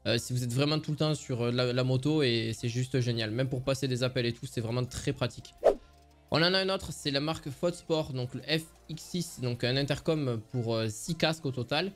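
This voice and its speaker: A man's voice: medium pitch, normal speed, faint music behind. A man giving a casual, semi-improvised product review as a presenter, explaining things in an informal, rambling way.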